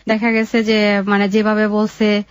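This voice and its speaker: A young woman with a Bangladeshi accent telling her life story in an interview, in a halting, colloquial way. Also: medium pitch, completely flat delivery, clear, resonant tone, no echo.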